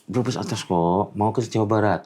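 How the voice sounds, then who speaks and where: A man's voice: fairly low pitch, normal speed, a little mellow, husky. A middle-aged man talking casually in an interview, explaining animatedly and acting out callers' voices.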